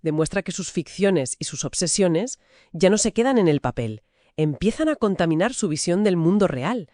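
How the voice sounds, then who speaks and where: A woman's voice: fairly low pitch, expressive, normal speed, warm tone, dry recording, clean studio sound. A woman with a Castilian Spanish accent co-hosting a conversational discussion about literature. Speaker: co-host of a book discussion.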